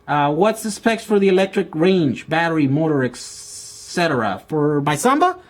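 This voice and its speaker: Brazilian-born man, slightly high voice, rambling vlogger answering live questions, lots of restarts. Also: sing-song, moderate pace. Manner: rambling, many restarts and fillers. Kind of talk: casual live Q&A chat. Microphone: outdoor camera with mic.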